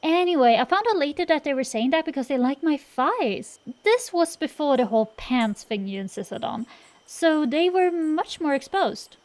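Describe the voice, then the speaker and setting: A woman's voice: high voice, animated, moderate pace, darkish tone. A woman playing a cocky tsundere dragon-girl sorceress in an acted roleplay, bantering straight to the listener with sassy, slangy, defensive bluster.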